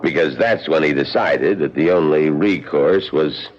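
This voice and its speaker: An actor playing a theatrical museum guide who recounts murders with relish in a radio drama, in a gravelly voice. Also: male voice, a little slow. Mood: confiding. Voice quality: very deep, gravelly. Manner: theatrical storytelling. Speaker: narrator and actor. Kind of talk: old-time radio drama acting. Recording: dull vintage radio recording.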